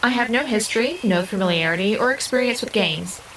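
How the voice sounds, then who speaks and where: A woman's voice: on the high side, animated, brisk, a bit bright, low-quality recording. A woman in an audio drama playing a curious, naive part-human being with formal, literal speech. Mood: curious, matter-of-fact. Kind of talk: scripted audio drama acting. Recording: rain-like background noise.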